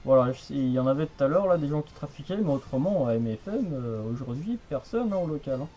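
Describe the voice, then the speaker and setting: A man's voice: a little high, animated, fast talker, mellow, soft background music. A young man chatting casually over the radio in a rambling, repetitive, colloquial way. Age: young adult. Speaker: radio hobbyist, channel host. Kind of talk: casual radio contact chat.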